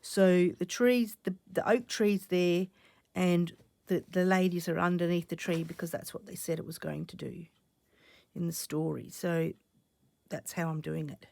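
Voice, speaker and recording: Woman host, croaky after a cough, low and gravelly, chatty crafting talk that slips into half-whispers, close dry mic. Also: fairly even intonation, measured pace, no echo. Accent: Australian. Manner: chatty, thinking aloud, drops to soft half-whispers while stitching. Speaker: stitching channel host. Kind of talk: casual crafting vlog chat.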